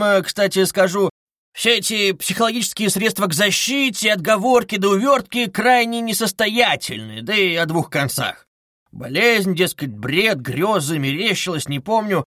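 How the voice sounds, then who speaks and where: High-pitched, giggly, wily police investigator. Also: male voice, fairly bright tone, dry recording, studio quality. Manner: giggly, coaxing, teasing. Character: wily, mocking, playfully cheerful male police investigator. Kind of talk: dramatized audiobook reading.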